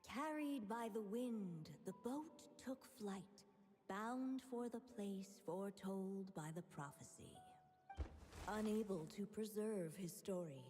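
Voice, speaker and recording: A woman playing a calm storybook narrator, telling a fairy tale in a video game. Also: medium pitch, slow, in a large hall, faint music behind, natural voice. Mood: measured, storytelling.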